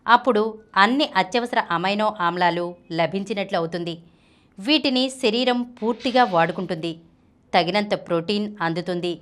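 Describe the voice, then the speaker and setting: A woman presents a health explainer, reading scripted narration aloud. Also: medium pitch. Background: music.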